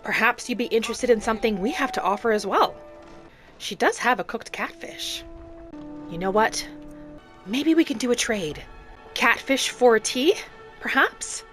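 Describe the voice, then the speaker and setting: A woman narrating a video game roleplay in character, speaking in polite, gently formal phrasing. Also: on the high side, lively intonation, moderate pace, pauses often. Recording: background music behind the voice.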